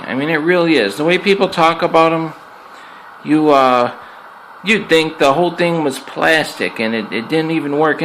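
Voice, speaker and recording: A man's voice: on the high side, a bit bright, poor audio. A man giving a casual, unscripted hands-on review as a hobbyist, plainspoken and conversational, repeating himself for emphasis. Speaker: amateur product reviewer and radio hobbyist. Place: indoors in an apartment. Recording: amateur recording with clear background noise.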